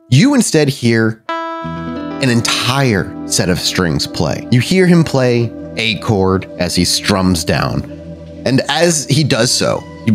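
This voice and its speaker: A man narrating a tabletop role-playing game as game master, descriptive and dramatic with casual asides. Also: lowish voice. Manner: descriptive, dramatic storytelling mixed with casual rules talk.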